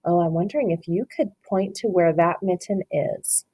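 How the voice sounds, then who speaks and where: A woman's voice: fairly low pitch, moderate pace, dark tone, husky. A woman teacher reading a picture book aloud to children, encouraging them and asking questions about the pictures.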